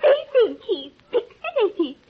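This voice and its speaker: Quaint small-town gossip, prim, matter-of-fact, piercingly high and lively, vintage radio comedy-drama. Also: female voice, unhurried, heavily acted. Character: quaint small-town spinster sisters testifying as witnesses. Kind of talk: 1930s-40s radio comedy-drama acting. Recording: muffled, narrow-band old radio recording.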